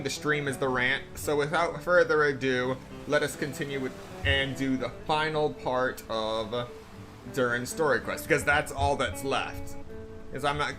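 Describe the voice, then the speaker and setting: A man giving live game stream commentary in a deliberate, halting way. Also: medium pitch. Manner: deliberate, halting phrasing with frequent throat clearing. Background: faint game music.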